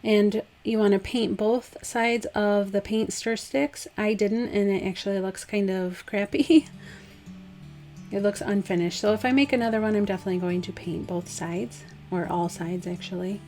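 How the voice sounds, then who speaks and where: A woman with a slightly hoarse, dry voice, casually talking through a DIY craft project step by step. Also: mid-range voice, moderate pace, faint music behind. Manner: relaxed, chatty, step-by-step instructions with fillers. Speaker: craft vlogger. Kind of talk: casual DIY tutorial voice-over.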